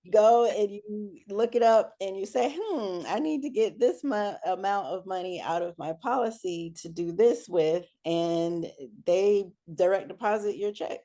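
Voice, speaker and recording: A woman giving conversational interview answers as a guest, often pausing mid-sentence. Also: medium pitch, warm tone. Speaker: interview guest, air traffic control supervisor and businesswoman.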